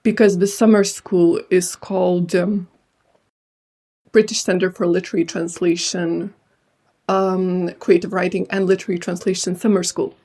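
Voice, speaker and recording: Young woman guest, mellow tone, hesitant and reflective, remote interview voice. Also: lowish voice, little pitch movement, moderate pace. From Lithuania. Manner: thoughtful, hesitant with many fillers. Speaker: translator and writer, guest. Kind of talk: casual interview answers.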